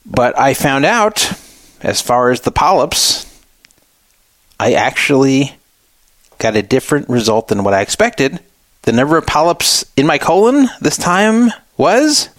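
A man's voice: medium pitch, very animated, a little slow, a bit bright, no echo. Middle-aged American man, casual dry-room talk. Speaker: radio show host. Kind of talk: casual personal storytelling.

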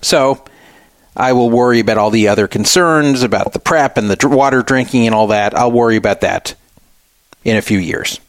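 A middle-aged man with an American accent talks like a radio host sharing a personal story. His voice sits at an ordinary male pitch and sounds close and dry. He rambles conversationally, repeating words for emphasis. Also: normal speed, dry recording.

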